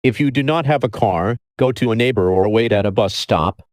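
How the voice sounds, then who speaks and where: A man's voice: fairly low pitch, a little fast, darkish tone, dry recording, clean studio sound. A man delivering a scripted emergency alert as an announcer.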